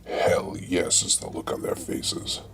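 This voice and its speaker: A man reading scripted comic narration for an audio drama in a deadpan way. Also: slow pace, a little hiss or hum.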